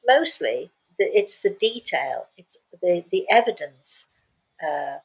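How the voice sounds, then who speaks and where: A woman's voice: lowish voice, very animated, takes it slowly, low-quality recording. An older woman speaking as a spiritual medium, telling stories casually and repeating words for emphasis. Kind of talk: casual storytelling talk.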